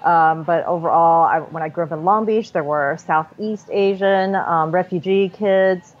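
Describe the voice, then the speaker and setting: A woman's voice: on the low side, unhurried. An American-accented woman who grew up in Southern California, answering conversationally as an interview guest over an internet call. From born in Taiwan, grew up in Southern California. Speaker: podcast guest, sociologist. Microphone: Skype call. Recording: dull internet call audio.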